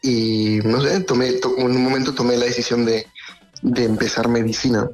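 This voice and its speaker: A young man from Colombia with a faint Colombian accent softened by years in Spain, an earnest, hesitant medical researcher in a radio interview. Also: mid-range voice, flat delivery, normal speed, soft background music.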